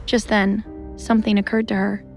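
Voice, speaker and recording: A woman's voice: medium pitch, flat delivery, normal speed, a little mellow, soft background music. A woman narrator reading a scripted audio drama in a storytelling style.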